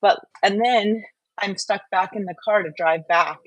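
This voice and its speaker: A woman's voice: mid-range voice, normal speed, dry recording. A woman hosting a casual, conversational chat, asking questions and adding her own thoughts. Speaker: host.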